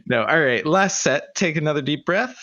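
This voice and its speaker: A playful man running a casual interview on a livestream, his voice sitting on the high side. His pitch bounces around a lot as he fires off quick questions and laughs often. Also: lively intonation, pushed and bright, dull audio. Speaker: interview host.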